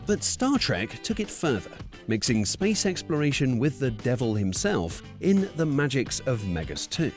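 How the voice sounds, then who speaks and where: A man's voice: mid-range voice, very animated, normal speed, few pauses, darkish tone. A man reading scripted narration for a countdown video. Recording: music under the voice.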